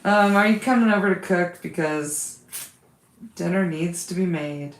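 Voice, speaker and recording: A woman's voice: fairly low pitch, a little slow, echoey room. A woman who has lost her voice, hosting a quilting livestream, chatting casually with viewers and reading their comments while she sews.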